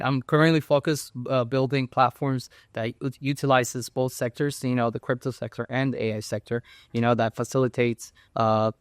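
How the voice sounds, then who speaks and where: A young man from Cuba speaking as an interview guest, halting and restarting his phrases as he goes. His voice is smooth and resonant, at an ordinary male pitch. The sound is close and dry, with no room around it. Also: dry recording. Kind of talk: casual interview talk.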